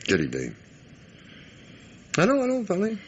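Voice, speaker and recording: An actor playing a shrewd, sceptical police inspector, wry, insistent and patronising, in old French radio mystery style. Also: male voice, sits high, wildly swooping pitch, moderate pace. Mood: impatient, ironic. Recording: muffled, hissy old radio drama recording.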